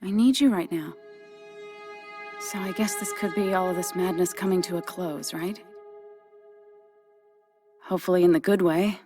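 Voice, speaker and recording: A woman's voice: fairly low pitch, moderate pace, pauses often, warm tone, soft background music, close to an everyday voice. A woman acting as a determined, anxious, no-nonsense ally with an American accent and an earnest, urgent manner in a video game. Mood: worried, impatient.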